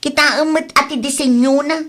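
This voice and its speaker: A woman's voice: sits high, steady tone, normal speed, a bit bright. A woman with an Ilocano accent playing a nagging, aggrieved costume renter in a comedy audio drama. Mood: indignant. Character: aggrieved costume-rental woman, exasperated daughter, insistent.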